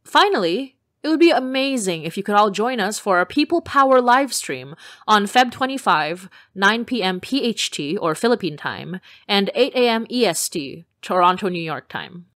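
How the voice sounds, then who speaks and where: A woman's voice: mid-range voice, animated, normal speed, a bit bright, no room sound, clean studio sound. A woman podcast creator reading a scripted announcement in a friendly, upbeat way, addressing listeners directly.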